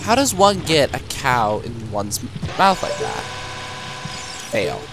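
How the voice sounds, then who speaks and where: A man with a cold giving animated, casual commentary while playing a video game at home, with game music behind him. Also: medium pitch, takes it slowly, background noise. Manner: animated, exclamatory reactions. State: has a cold. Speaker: Let's Play gamer.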